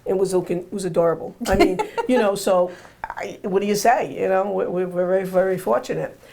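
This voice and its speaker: A woman hosting a casual interview, her voice hoarse and going, rambling and repeating herself with joking asides. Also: mid-range voice, steady tone, brisk, warm tone. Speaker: host.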